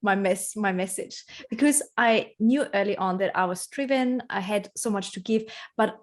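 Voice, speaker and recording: A woman's voice: medium pitch, normal speed. A woman speaking English as her second language, answering interview questions about her work in an earnest, reflective way, often laughing. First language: not English. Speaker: interview guest, branding strategist.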